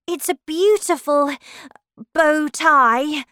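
A woman's voice: high voice, very slow, bright, forceful tone, dry recording, clean studio sound, pitched above the performer's own voice, full character voice. A woman voicing a polite, curious young girl in a storybook, with an earnest, questioning manner.

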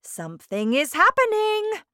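A woman voicing an eccentric, excitable male factory owner in a children's storybook, exclaiming with showy delight. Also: fairly high pitch, slow pace, no echo, clean studio sound, pitched above the performer's own voice. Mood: excited.

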